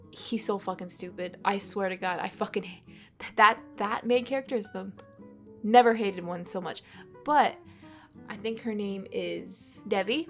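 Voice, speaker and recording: A congested woman in her twenties chatting casually and rambling while she sketches, swearing often, recorded at home on a desk microphone. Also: mid-range voice. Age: 20s. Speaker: art vlogger. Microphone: USB desk microphone.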